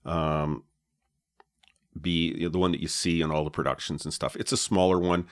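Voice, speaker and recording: A man with a low voice, co-hosting a podcast and explaining his gear in a relaxed way, with many fillers, on a dynamic mic with a pop filter. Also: normal speed, dry recording, studio quality. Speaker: podcast co-host. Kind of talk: casual chat about podcast gear. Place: home podcast setup. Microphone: dynamic podcast mic with pop filter and foam cover.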